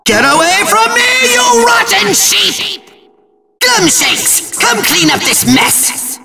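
A man voicing a pompous, tantrum-prone little evil overlord in a video game, shrill and gravelly, ranting theatrically. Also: big pitch swings, takes it slowly, bright, forceful tone, roomy sound, big-room sound, soft background music, heavily acted. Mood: exasperated. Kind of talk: cartoonish video game villain acting.

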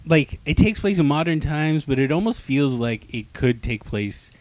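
A man's voice: a little high, lively intonation, moderate pace, a little mellow. A young man hosting a review show in casual, jokey, slangy chat, speaking into a handheld mic. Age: young adult. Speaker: host of a comics review show. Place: small room, indoors on a couch. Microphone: handheld microphone, held close.

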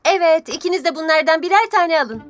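A woman's voice: extremely high pitch, expressive, a little fast, heavy background noise. A woman voicing a patient but stern teacher vehicle in a children's cartoon dub, motherly and instructive. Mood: kind, instructive. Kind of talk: animated children's series dub.

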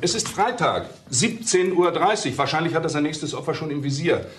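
An actor playing an authoritative, clipped police detective leading a manhunt in a crime radio drama. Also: male voice, a little high, animated, a little fast, a bit bright. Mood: commanding, urgent. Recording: echoey room with clear noise, clicks.

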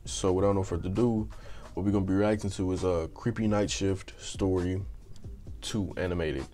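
Slangy young man, low slightly raspy voice. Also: moderate pace, soft background music. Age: young adult. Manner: slangy, animated, joking. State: slightly raspy from just waking up. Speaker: reaction channel host. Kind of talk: casual reaction commentary. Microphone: earbud headset mic.

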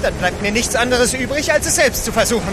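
An actor playing a loyal, excitable manservant aboard a sailing ship in a German audio drama. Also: male voice, extremely high pitch, fast. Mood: alarmed, desperate. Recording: noisy storm and crew sounds behind.

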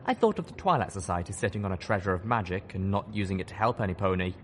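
Expressive, lowish-voiced male audiobook narrator. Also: quick pace, slight background noise. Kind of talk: expressive first-person scripted narration.

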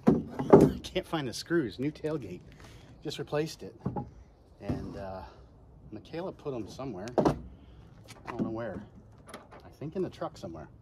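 A man's voice: medium pitch, lively intonation, unhurried, pauses often. A man talking casually to the camera and to himself as he builds a camera mount outdoors on his homestead. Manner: talks to himself while working, muttering encouragement. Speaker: homesteading vlogger. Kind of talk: casual narration while building. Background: loud power tool noise.

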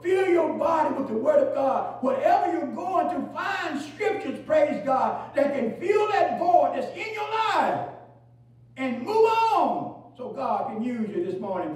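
High-voiced preacher man, echoing from afar. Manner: fills pauses with "praise God" and "hallelujah"; repeats phrases, rising to shouts and dropping low. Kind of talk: preaching a sermon. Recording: very echoey, from far off.

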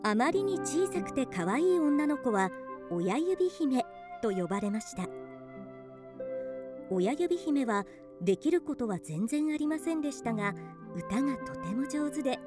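A woman's voice: high-pitched, expressive, a little slow, music in the background. A woman reading scripted storybook narration for a radio drama.

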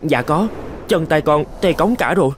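A man's voice: a little high, animated, normal speed, a little hiss or hum, lightly acted. A man voicing a young novice monk in a cartoon folk tale, polite, earnest and deferential to his master. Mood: respectful. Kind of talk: animated folk tale dialogue.

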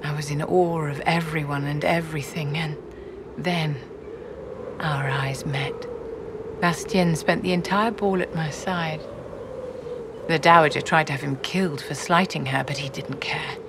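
A woman's voice: low-pitched, fairly even intonation, a little slow, background noise. An actress playing an elegant, commanding noblewoman in a video game, speaking formally with refined composure and endearments. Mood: grieving, composed. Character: poised, elegant noblewoman enchantress, affectionate yet commanding. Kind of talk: dramatic video game acting.

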